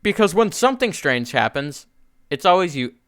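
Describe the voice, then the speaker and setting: A man's voice: fairly high pitch, very animated, moderate pace, fairly bright tone, dry recording, studio quality, voice pushed up. Man putting on a stern, raised German voice. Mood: exasperated. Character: stern, accusing German man, personified country.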